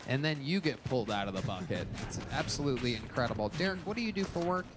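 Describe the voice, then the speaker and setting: A man hosting a live comedy show, loud and crude, teasing a comic and laughing a lot. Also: mid-range voice, lively intonation, fast talker, noisy background. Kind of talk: live show banter and interviewing. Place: comedy club stage with live audience.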